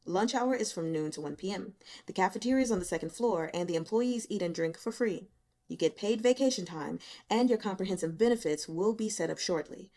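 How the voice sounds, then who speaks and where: Woman reading as tired office boss guy, offhand and brisk, kinda wry, audiobook dialogue. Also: medium pitch, dark tone, natural voice. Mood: offhand, businesslike. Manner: casual, brisk, bluntly teasing.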